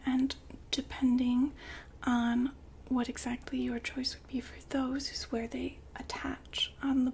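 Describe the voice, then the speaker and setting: A woman whispering softly and politely in an ASMR customer-service roleplay, breathy and gently hesitant. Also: sits high, a little hiss or hum. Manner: soft-spoken, polite, unhurried, gently hesitant. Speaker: ASMR roleplay performer as a shop consultant.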